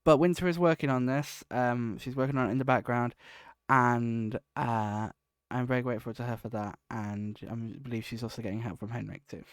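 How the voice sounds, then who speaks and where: A man's voice: mid-range voice, steady tone, moderate pace, clean tone, dry recording, studio quality. A man hosting his own podcast, talking casually and rambling, correcting himself often, a little sniffly. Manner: rambling, self-correcting, warmly grateful. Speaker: podcast host and project lead. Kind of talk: casual solo podcast talk.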